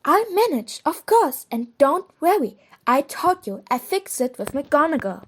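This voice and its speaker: A woman playing an earnest, brisk young schoolgirl witch in an audio drama, speaking precisely. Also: sits high, very animated. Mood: brisk, assured. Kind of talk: fan audio drama acting.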